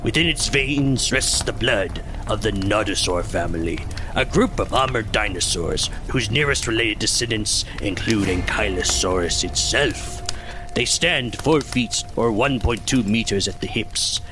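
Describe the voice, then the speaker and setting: A man's voice: lowish voice, animated, a little slow. A man playing a grandiose, portentous saga narrator in an overly dramatic scripted style. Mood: solemn. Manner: portentous, solemn. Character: solemn, grandiose male narrator of an epic saga. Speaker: podcast host and narrator. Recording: dramatic music behind the voice.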